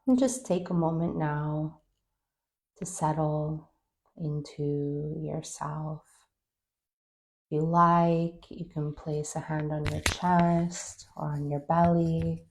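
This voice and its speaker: A woman guides a meditation in a low, mellow voice with a touch of gravelly fry. Her delivery is calm and gentle, offering soft instructions and leaving long pauses between short phrases. The melody stays very even throughout. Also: poor audio.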